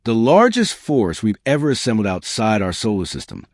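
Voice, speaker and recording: A resolute military commander in his forties, voiced by a male narrator, speaks with calm assurance in a science fiction audiobook. The voice sits fairly low and moves expressively, recorded dry. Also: normal speed, no room sound. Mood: impressed. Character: battle-seasoned 45-year-old male human fleet commander, calm, resolute. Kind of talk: audiobook character dialogue.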